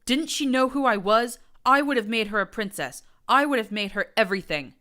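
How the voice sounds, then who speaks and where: A woman's voice: fairly low pitch, lively intonation, moderate pace, sharp tone. A woman voicing a pompous, deranged undead male pony prince who hisses with false gentility and breaks into screams. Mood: shrieking rage. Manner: hissing, falsely genteel, erupting into screams.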